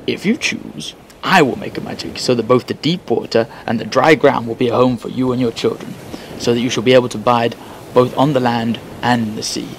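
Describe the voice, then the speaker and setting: A man's voice: medium pitch, fairly even intonation, brisk, gravelly, a little hiss or hum, close to an everyday voice, rough recording. Man playing a tired grumbling fisherman.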